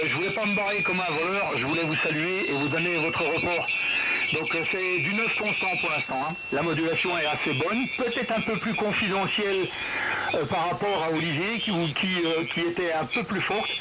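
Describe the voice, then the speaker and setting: A man from Belgium, an amateur radio operator, making a courteous, somewhat hesitant casual exchange over the radio. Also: on the high side. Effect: radio transmission. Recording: dull radio audio, loud static noise.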